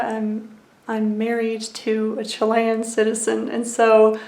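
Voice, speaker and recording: Woman in her thirties, soft-spoken vlogger, slightly high voice, echoey room. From United States. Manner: soft-spoken and unhurried, with frequent ums and small laughs. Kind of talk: personal reflective vlog.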